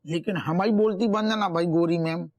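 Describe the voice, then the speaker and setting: An actor with a Bundelkhandi accent playing a sly, pompous small-town police inspector who rambles in rustic sayings. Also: male voice, high-pitched, moderate pace, darkish tone. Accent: Bundelkhandi Hindi.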